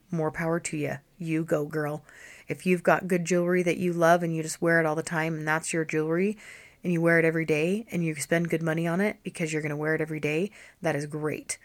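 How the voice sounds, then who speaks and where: Hoarse, deep-voiced woman podcast host. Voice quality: deep, low voice. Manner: chatty, encouraging, addresses listeners directly. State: hoarse from allergies. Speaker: podcast host and style coach. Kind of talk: solo podcast talk.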